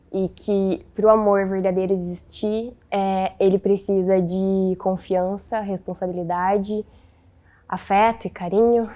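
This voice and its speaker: A twenty-year-old woman, a photographer, speaks with a very even pitch. She explains her ideas steadily, with little rise or fall. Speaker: photographer, co-creator of the book. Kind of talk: interview answers.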